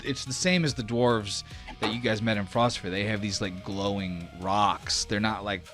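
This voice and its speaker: A man's voice: fairly low pitch, normal speed. A man running a tabletop game as game master, moving between dramatic combat narration and casual rulings. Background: background music.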